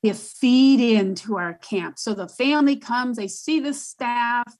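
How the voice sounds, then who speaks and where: A woman with a booming voice speaking as a guest advocate in a panel discussion, emphatic and repeating herself as she works out her thoughts. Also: measured pace, a little mellow.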